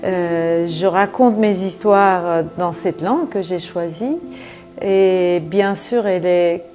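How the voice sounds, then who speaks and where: A woman's voice: lowish voice, slow pace. A woman writer whose first language is Turkish, reflecting thoughtfully in an interview. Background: soft music.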